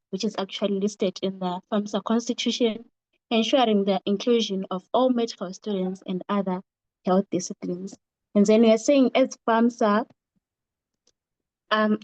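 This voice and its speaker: A woman's voice: medium pitch, darkish tone, no room sound, lo-fi sound. A young woman with a Zimbabwean accent giving a formal, point-by-point presentation as a student coordinator on an online call. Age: young adult. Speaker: regional coordinator of a student association. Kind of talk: prepared presentation on an online meeting. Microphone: online call microphone.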